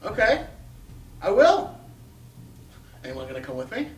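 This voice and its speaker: High-pitched, animated man in a roomy space. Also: measured pace, a little hiss or hum. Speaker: table read performer. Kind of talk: live comedic script reading. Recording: echoey room, distant mic, music behind.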